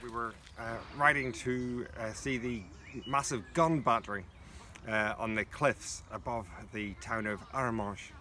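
A man in his forties with an English accent, a scout leader narrating a trip vlog in a relaxed, chatty way. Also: mid-range voice, unhurried. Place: outdoors in the countryside. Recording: noisy outdoor phone recording.

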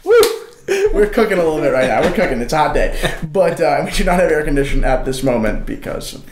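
A man's voice: on the high side, expressive, quick pace, roomy sound, noisy background. A young man co-hosting a casual chat, talking eagerly with many fillers and restarts into a desk microphone in a small studio. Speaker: co-host. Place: small studio with shelves, indoors.

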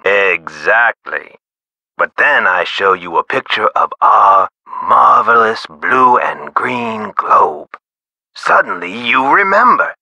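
A man's voice: lowish voice, pushed and bright, dry recording, exaggerated voice. A man playing a chatty, eccentric hospital nurse in a video game, explaining things with theatrical, whimsical emphasis. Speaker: nurse character in an adventure game.

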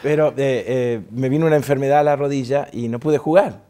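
A man's voice: mid-range voice, fairly even intonation, a little fast, dark tone. A middle-aged man from Argentina with an Argentine Spanish accent, a pastor telling his story as a guest in a TV studio interview, jovial and chatty, often laughing. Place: TV studio set.

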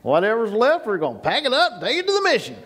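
A man's voice: very high voice, huge pitch swings, fast, a bit bright. An older man preaching a sermon to his congregation. He builds to emphatic peaks and repeats key phrases, recorded by a far camera in a small church. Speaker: pastor. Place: small church sanctuary with projection screen. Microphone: far camera, no mic seen.

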